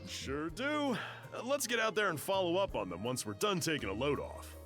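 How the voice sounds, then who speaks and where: Lively high-pitched young game companion. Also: male voice, moderate pace, over music. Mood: upbeat, casual. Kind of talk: video game voice acting.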